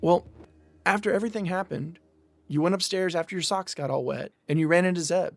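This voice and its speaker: An actor playing an earnest young man in a conversational audio drama, explaining himself in a measured way. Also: high voice, gravelly, no echo. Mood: earnest, defensive. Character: earnest young man pleading for patience and trust.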